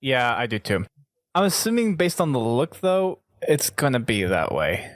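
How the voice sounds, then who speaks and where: A man's voice: medium pitch, big pitch swings, normal speed, no room sound, clean studio sound. A man chatting casually as the host of a gaming podcast.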